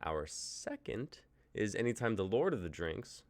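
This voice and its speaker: A man's voice: lowish voice, sing-song, measured pace. A man in his twenties hosting a casual livestream chat, upbeat and jokey as he runs the show. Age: 20s. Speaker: host.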